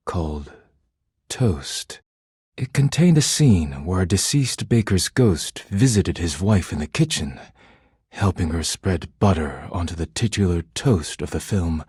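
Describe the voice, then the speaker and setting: An actor playing a solemn, formal artisan in a video game, a romantic man who speaks in measured, poetic sentences. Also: low voice, a little mellow, slightly rough, no echo, clean studio sound, full character voice. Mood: thoughtful, gentle. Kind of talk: video game character dialogue.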